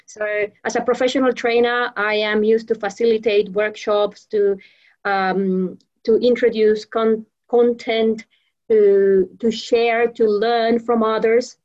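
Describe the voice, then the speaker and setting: A woman's voice: a little high, little pitch movement, takes it slowly, darkish tone, lo-fi sound. A woman from Venezuela whose first language is Spanish, a professional trainer being interviewed, talking with many fillers and restarts. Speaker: guest, professional trainer and mentor. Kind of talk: interview conversation.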